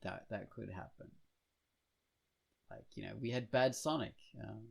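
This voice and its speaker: A man's voice: lowish voice, measured pace, vocal fry, a little mellow, slightly rough. A man co-hosting a podcast in casual, rambling chat, opinionated and blunt, with casual swearing. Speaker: podcast co-host.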